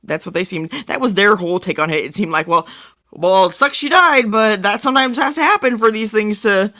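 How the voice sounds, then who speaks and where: A woman's voice: mid-range voice, expressive, a little fast, pushed and bright, no echo, studio quality. A woman co-hosting a podcast, chatting casually in an informal, tentative manner. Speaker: podcast co-host. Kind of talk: casual review chat.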